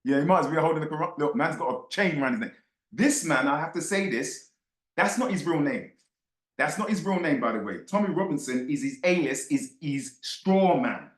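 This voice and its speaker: Guy ranting off the cuff about news, slightly high voice, repeats himself for emphasis, really roomy echo. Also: lively intonation, a little fast. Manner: emphatic, repeats words and phrases, rhetorical questions to listeners. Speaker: book club host. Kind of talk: impassioned off-the-cuff news commentary to followers. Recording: roomy, echoey sound.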